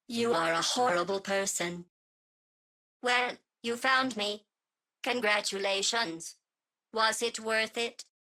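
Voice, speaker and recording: A woman voicing a cold, murderous artificial intelligence in a video game, deadpan, clinical and mock-polite. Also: mid-range voice, gravelly, exaggerated voice. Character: cold, sardonic, murderous female artificial intelligence running tests.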